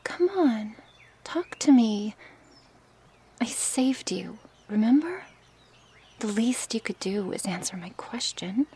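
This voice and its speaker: A woman playing a gentle, curious, coaxing snake woman in an intimate ASMR roleplay, speaking softly and hesitantly in a breathy, often whispered voice. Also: a little high, big pitch swings, exaggerated voice. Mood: earnest, pleading. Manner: slow, hesitant, soft-spoken with sighs and pauses. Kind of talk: ASMR roleplay, intimate one-sided dialogue.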